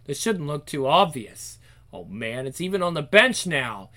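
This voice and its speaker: Performer playing a dramatic, self-pitying teenage girl, bright voice. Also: mid-range voice, very animated, a little slow. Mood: panicked. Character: troubled, sarcastic, self-conscious young teenage girl narrator.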